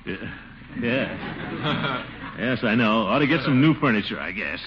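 An actor playing a pompous, portly middle-aged bachelor in a 1940s radio comedy, blustering and hemming and hawing, with a booming chuckle. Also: male voice, animated, unhurried, husky. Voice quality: booming, sly chuckling laugh. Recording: dull noisy 1940s radio recording.

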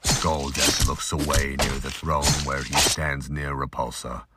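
A man's voice: rumbling low, level tone, a little slow, heavy background noise. A man delivering scripted, emphatic narration for an action audio drama.

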